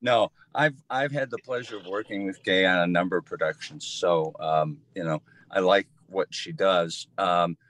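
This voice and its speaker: A man's voice: deep voice, normal speed, hardly any pauses, a bit bright, a little hiss or hum, poor audio. A man giving easygoing, thoughtful, conversational answers as an interview guest from community theater.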